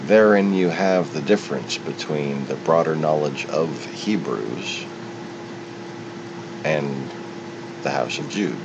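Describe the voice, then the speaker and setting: A man giving an unscripted, opinionated monologue, speaking in a halting, digressive way as a solo commentator. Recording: muffled, with loud steady rumble.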